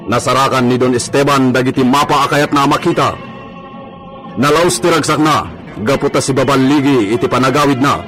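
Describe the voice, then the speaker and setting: A man with an Ilocano accent narrates a radio drama serial in a mid-pitched voice. Light music runs underneath his scripted storytelling. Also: a bit bright.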